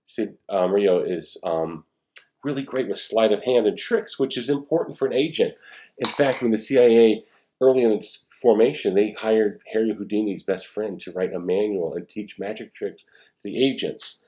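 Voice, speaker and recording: A man's voice: on the low side, normal speed, warm tone. A man speaking as an author, conversationally introducing and reading a storybook aloud.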